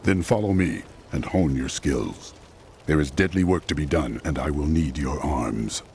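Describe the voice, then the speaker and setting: A deep solemn hero. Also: male voice, lively intonation, measured pace, darkish tone, a little hiss or hum, exaggerated voice. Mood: resolute. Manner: solemn, resolute. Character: grim determined male hero crusader.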